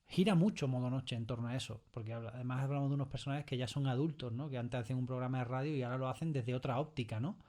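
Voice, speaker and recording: A man's voice: medium pitch, darkish tone. A middle-aged man, a writer being interviewed in a studio, reflecting conversationally and often adding little tag questions. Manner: keeps checking in with tag questions. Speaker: interview guest, audio fiction writer. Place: recording studio.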